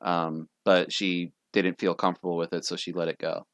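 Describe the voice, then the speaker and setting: A man's voice: deep voice, flat delivery, moderate pace, dry recording. Laid-back man running a tabletop game. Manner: casual and laughing, swears. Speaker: game master.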